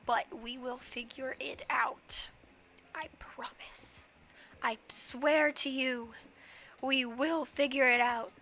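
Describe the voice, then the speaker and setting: A young woman chatting away while she plays on stream, high and bright in tone, drifting between thoughts and humming little nonsense tunes. She is a bit sniffly, and she speaks into a headset with a faint hiss of noise underneath. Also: fairly even intonation, slow pace. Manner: rambling, chatty, hums silly nonsense sounds. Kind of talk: casual livestream commentary while playing.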